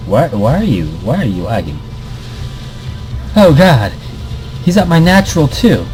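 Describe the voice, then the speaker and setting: A man's voice: on the high side, big pitch swings, unhurried, a little mellow, faint music behind, poor audio. A man hosting a casual let's play, reading visual novel text aloud with commentary and often stumbling and repeating words. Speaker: gaming video host.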